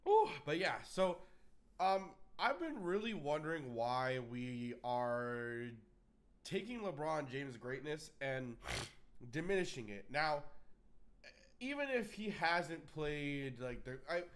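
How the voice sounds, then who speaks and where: A man's voice: on the high side, big pitch swings, slow. A man from Miami, stuffed up with a cold and a bit nasal, hosting his own sports channel and giving a rambling, emphatic opinion with many "uh"s and restarts. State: congested, under the weather. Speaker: sports vlogger. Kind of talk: casual sports opinion monologue.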